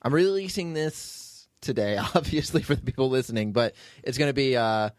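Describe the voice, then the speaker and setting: A man's voice: medium pitch, moderate pace, dry recording, clean studio sound. A man hosting a podcast as its writer and producer, telling behind-the-scenes stories in a casual, rambling way.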